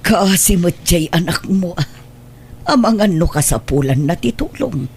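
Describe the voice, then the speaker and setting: An old, caring mother in an Ilocano radio drama. She is husky and swings widely in pitch, admonishing and pleading with an Ilocano accent. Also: animated, measured pace, a little mellow, heavily acted. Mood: reproachful, pleading. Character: elderly mother, caring and reproachful.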